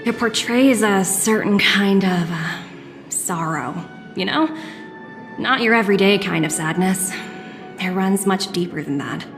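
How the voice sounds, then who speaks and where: A poised young businesswoman played by a voice actress in a video game, cultured and courteous. She speaks in measured, articulate sentences at a medium pitch, with music clearly behind her. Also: natural voice. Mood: reflective, cultured.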